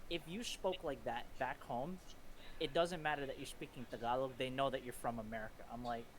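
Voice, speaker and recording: A man's voice: mid-range voice, fairly quick, a little hiss or hum. A man co-hosting a podcast, talking thoughtfully and with self-deprecation. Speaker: podcast co-host. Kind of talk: casual chat.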